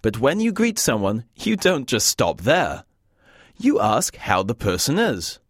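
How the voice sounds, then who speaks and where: Lively British man hosting a studio lesson, joking, dry mic. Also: medium pitch, unhurried, dry recording. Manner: wry, joking teacher. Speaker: language course host. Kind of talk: scripted lesson narration.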